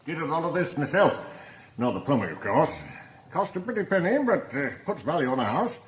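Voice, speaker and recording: An actor playing a touchy, plain-spoken husband in a radio drama, with a blunt, colloquial way of talking. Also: male voice, on the high side, very animated, brisk, slight background noise. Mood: boastful. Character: small, touchy, blunt husband, tender to his wife.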